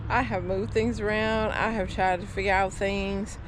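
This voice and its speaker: A woman with a Southern US accent, chatting in a relaxed, tired way as she tours her backyard garden in the evening. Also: mid-range voice, normal speed. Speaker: gardening vlogger. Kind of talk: casual garden tour. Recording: outdoor recording with clear background noise. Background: outdoor ambience with traffic.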